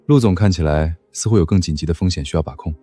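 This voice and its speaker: An actor playing a shrewd, imperious business executive in an animated drama, formal and cutting in manner. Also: male voice, deep voice, fast, husky, faint music behind. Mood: smooth, probing. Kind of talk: animated short drama acting.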